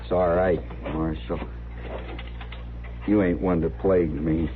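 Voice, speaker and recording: A troubled, simple young frontier man, low and slow. He speaks haltingly and earnestly in a rustic way, as in a 1950s radio western. The recording is narrow and old, with faint noise. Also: flat delivery. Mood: confused, subdued.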